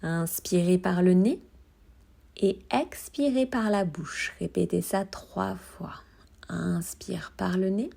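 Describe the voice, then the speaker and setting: A woman guiding a body scan meditation in a soft, at times breathy voice, with calm, soothing instructions and long pauses. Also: fairly low pitch. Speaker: yoga meditation guide.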